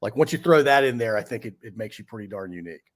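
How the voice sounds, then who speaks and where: A man's voice: lowish voice, animated, quick pace. A man from Central Virginia, a podcast host chatting casually and rambling a little as he sets up his questions. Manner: friendly, rambling with many uh fillers. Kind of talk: casual conversation.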